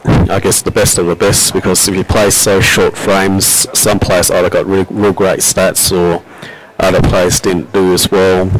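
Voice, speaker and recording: A man with an Australian accent and a robust, loud voice, giving relaxed, chatty live commentary on a pool match with frequent fillers. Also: deep voice, unhurried, slight background noise, low-quality recording. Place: venue at a pool championship.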